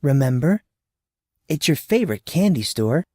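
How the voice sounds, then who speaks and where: A man's voice: on the high side, big pitch swings, moderate pace, mellow, dry recording, clean studio sound, pitched above the performer's own voice. A man voicing a sweetly dismissive mother talking down to her young child, coaxing and a little dreamy. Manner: coaxing, patronizing.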